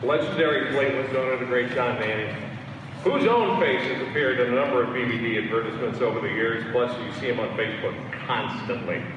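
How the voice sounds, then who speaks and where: A man's voice: medium pitch, fast talker, dull audio. A man hosting an event, introducing a speaker in a jovial, hyped-up way. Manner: jovial, hyping the honoree. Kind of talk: public introduction. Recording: echoey hall, distant mic, crowd and noise.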